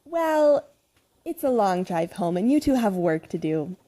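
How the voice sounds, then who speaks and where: A woman's voice: mid-range voice, very animated, a little slow, mellow. A woman playing a prim, doting middle-aged mother in an audio drama, polite and sweetly probing.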